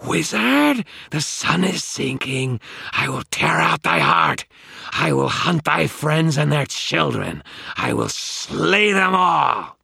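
A vengeful, inhuman nightmare creature from an audiobook. It screams and snarls in a strained, raised voice. The threats come slowly, drawn out in archaic phrasing. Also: male voice, fairly high pitch, wildly swooping pitch, gravelly, sharp tone, slightly rough, dry recording, clean studio sound, voice pushed up, full character voice. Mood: menacing triumph. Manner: drawn-out, menacing archaic speech.

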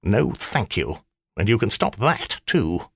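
A man's voice: on the low side, very animated, slow pace, creaky, a bit bright, slightly rough, no echo, studio quality, heavily acted. A man voicing an irritable old male magician, stern and sardonic, in a clipped, scolding manner for an audiobook. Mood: irritated. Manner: clipped, scolding, dryly sarcastic. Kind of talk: audiobook character voice.

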